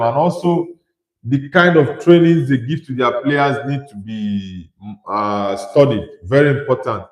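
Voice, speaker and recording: A man's voice: fairly high pitch, echoey room, rough recording. Nigerian-born man hosting a football livestream. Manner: conversational, reads out chat messages and replies with opinions. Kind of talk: casual live chat reading viewer comments.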